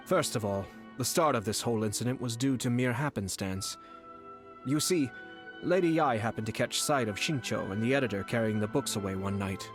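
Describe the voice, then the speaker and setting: An actor playing a courteous, calculating young clan lord in a video game, speaking in a measured, formal and composed way. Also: male voice, mid-range voice, expressive, soft background music, natural voice. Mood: composed, wry. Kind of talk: video game character acting.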